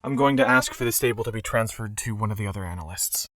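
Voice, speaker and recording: A man's voice: fairly low pitch, huge pitch swings, fast talker, a bit bright, a little hiss or hum, heavily acted. A man playing a troubled pony analyst dictating a log in a scripted audio drama.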